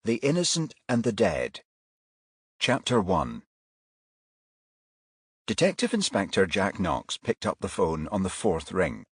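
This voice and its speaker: A man's voice: low-pitched, big pitch swings, takes it slowly, long pauses, slightly rough, no echo, studio quality. A man reading a crime thriller audiobook as scripted narration.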